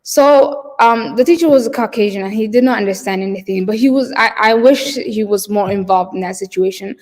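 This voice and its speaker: Woman, keynote storyteller; halting, filler-heavy delivery; long reverberant hall sound. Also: medium pitch, a little mellow. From Somalia. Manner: hesitant, many fillers and restarts. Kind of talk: personal storytelling.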